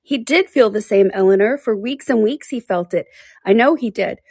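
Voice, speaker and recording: A woman's voice: medium pitch, normal speed, vocal fry, darkish tone, husky, no room sound, voice pushed up. A woman reading aloud and voicing a heartbroken, passionate young woman who pleads in anguish.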